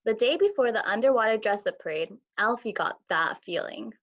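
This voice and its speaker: A woman's voice: on the high side, animated, a little fast, a bit bright. A woman teacher reading a picture book for children, recorded with dull, lo-fi sound. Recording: dull lo-fi audio.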